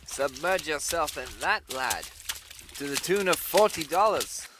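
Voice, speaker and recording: A man's voice: a little high, animated, unhurried, background noise. A man playing a blustering, scornful rich financier in a comic dub, pompous in manner. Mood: smug, scornful. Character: blustering wealthy male financier, scornful father.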